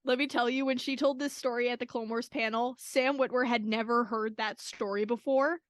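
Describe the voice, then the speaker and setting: A woman's voice: a little high, steady tone, fairly quick, no room sound. A woman podcast guest telling stories casually, with a gushing, dramatic fan's delivery. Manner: gushing, giggly, dramatic retelling. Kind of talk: casual, animated fan storytelling.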